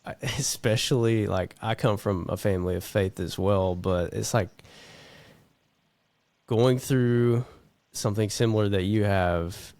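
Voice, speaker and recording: A man hosting a casual interview, asking gentle, encouraging questions and quickly affirming his guest. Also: on the low side, steady tone, no echo. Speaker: podcast host.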